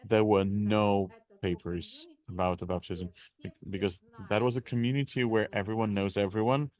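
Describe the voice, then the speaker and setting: A man interpreting live at a seminar, speaking haltingly in pieced-together phrases with repeats. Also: fairly low pitch.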